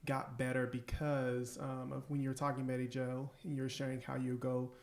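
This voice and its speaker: A man's voice: mid-range voice, completely flat delivery, moderate pace, gravelly. A man hosting a podcast, chatting casually and affirming his guests with lots of "like" and "um". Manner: affirming, many fillers like "like" and "um". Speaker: podcast host. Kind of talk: casual chat.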